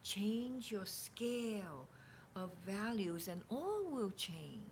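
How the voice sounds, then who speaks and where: A woman reads spiritual teachings aloud at a slow, measured pace over faint background noise. Her mid-pitched delivery is deliberate and emphatic, sinking at times into breathy near-whispers. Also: very animated, a little mellow. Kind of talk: slow dramatic reading of spiritual dialogue with casual asides.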